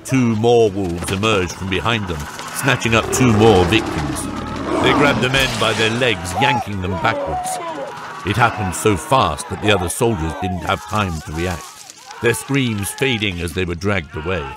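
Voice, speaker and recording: A man's voice: lowish voice, unhurried. A man narrating a scripted fantasy audiobook. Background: loud music.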